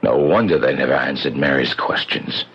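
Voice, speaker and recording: An actor in a 1970s radio drama playing a grave investigator with a husky, gravelly voice. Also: male voice, a bass voice, moderate pace, exaggerated voice. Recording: dull, lo-fi 1970s radio recording.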